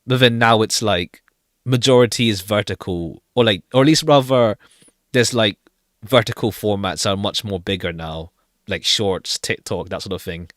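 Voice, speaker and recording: A man's voice: on the low side, normal speed, fairly bright tone, dry recording, clean studio sound. A man streaming a game with casual commentary, rambling hesitantly and repeating phrases as he goes. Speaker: live streamer. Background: faint jazzy game music.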